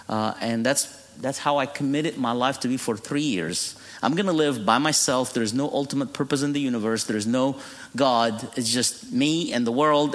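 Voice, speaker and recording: Middle-aged Egyptian-accented man, native Arabic speaker, guest preacher telling his testimony with self-deprecating humor, mid-pitched voice carried by long church reverb. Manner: humorous storytelling, laughing at his own jokes. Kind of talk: sermon testimony.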